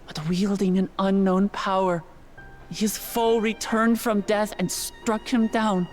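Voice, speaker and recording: Woman acting young female game royal, solemn, dignified, resolute, measured oratory, middle pitch, soft music behind. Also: little pitch movement, natural voice. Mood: solemn grief.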